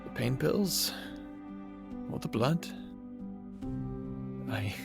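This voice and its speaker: A man acting as an earnest, lovestruck human in an audio drama, speaking and narrating in a halting, intimate way. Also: mid-range voice, expressive, creaky, exaggerated voice. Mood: yearning, hesitant. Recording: music bed behind the voice.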